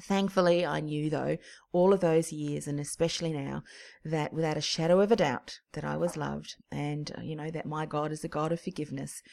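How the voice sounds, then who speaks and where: Low, gravelly woman, earnest. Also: steady tone. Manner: earnest and heartfelt, with soft pauses. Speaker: podcast host. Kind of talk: personal faith story.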